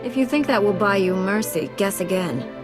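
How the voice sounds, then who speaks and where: A woman's voice: mid-range voice, animated, moderate pace, over music, lightly acted. An actress voicing a defiant, merciless female Jedi knight in a video game, with curt, dry and sardonic delivery. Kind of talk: video game cutscene acting.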